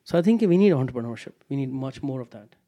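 A man, a teacher chatting frankly and with animated emphasis as a podcast guest. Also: medium pitch, fast, dry recording, studio quality. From Pakistan. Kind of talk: casual podcast conversation. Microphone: headphones on, studio mic.

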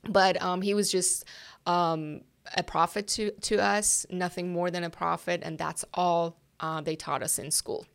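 A woman's voice: lowish voice, steady tone, unhurried, gravelly, smooth. Earnest young woman, slight Persian accent. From Iran. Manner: earnest, hesitant with many ums. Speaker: guest. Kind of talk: telling her life story. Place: office.

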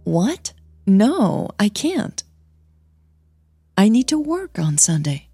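A woman's voice: mid-range voice, sing-song, slow pace, warm tone, slightly rough, dry recording, clean studio sound, soft background music, exaggerated voice. A woman voicing a tired, hesitant woman opera singer in an audio drama. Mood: disbelieving.

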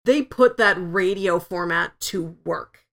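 A woman's voice: lowish voice, slow, fairly bright tone. A woman hosting a podcast, explaining her opinions in an enthusiastic, casual conversational way. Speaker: podcast host. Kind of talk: casual review discussion.

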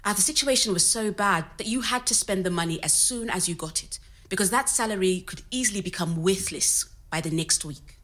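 A woman's voice: mid-range voice, a little fast, a bit bright, close to an everyday voice. An actress playing a resourceful, candid woman recounting her working life in a conversational storytelling style. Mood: matter-of-fact. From Zimbabwe. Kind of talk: scripted oral-history storytelling in conversational manner.

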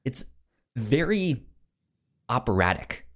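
Slow, halting man chatting casually. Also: medium pitch, big pitch swings, fairly bright tone. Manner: halting, informal. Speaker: podcast co-host. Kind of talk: casual film discussion.

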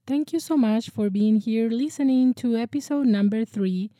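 A woman's voice: fairly high pitch, moderate pace, mellow, no room sound. Young woman hosting a podcast interview. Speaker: podcast host and producer.

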